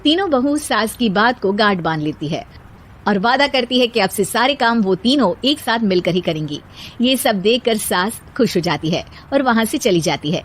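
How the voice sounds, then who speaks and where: A woman's voice: fairly high pitch, expressive, moderate pace. A woman narrating a scripted moral bedtime story as the storyteller.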